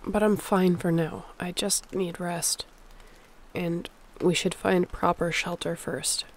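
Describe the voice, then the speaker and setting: A woman's voice: on the low side, fairly even intonation, slow pace. A woman playing a sick, stubborn survivor of a zombie apocalypse in an audio roleplay, speaking in a weary, conversational way. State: feverish, under the weather.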